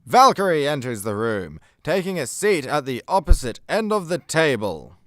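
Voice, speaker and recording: A male narrator played by an actor for a superhero radio comedy. He reads scripted scene-setting with lively intonation, slightly high, in a dry recording. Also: normal speed, dry recording. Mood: measured.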